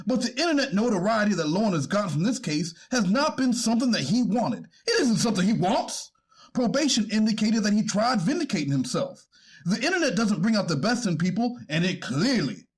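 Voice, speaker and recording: A man playing a polite, conciliatory defense attorney with a husky voice in a readers theater court hearing. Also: high voice, heavily acted. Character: polite male defense attorney pleading for his client.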